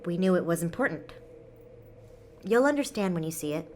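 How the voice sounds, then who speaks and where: An actress playing a casual, guarded tenant in a naturalistic scripted podcast drama, speaking tersely and matter-of-factly. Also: female voice, lowish voice, animated, normal speed, a little mellow, a little hiss or hum, natural voice. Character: casual, guarded woman tenant devoted to a mysterious hole.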